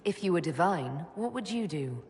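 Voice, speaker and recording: A composed young elven heroine from a fantasy game , acted by a woman. She has a deep female voice and a thoughtful, supportive, gently wry delivery. It carries hall reverb over faint ambient noise. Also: expressive, normal speed, natural voice. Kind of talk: dramatic video game dialogue.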